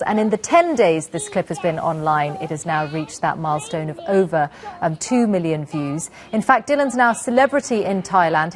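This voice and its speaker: A woman presenting the news in a studio and interviewing a guest, polished and lightly amused. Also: fairly low pitch.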